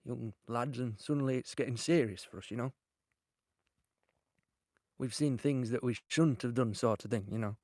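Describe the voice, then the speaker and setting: An actor playing a young British soldier, earnest and shaken, recalling the war haltingly in an audio drama. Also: male voice, medium pitch, a little mellow, dry recording, clean studio sound, lightly acted. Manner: halting, plain-spoken, reflective. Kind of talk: audio drama monologue, conversational recollection.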